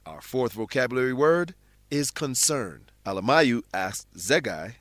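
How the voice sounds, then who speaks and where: A man narrates a scripted English lesson as its teacher. His voice is mid-pitched and he explains each point deliberately. The sound is dry, with no audible room. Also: expressive, a bit bright, no room sound.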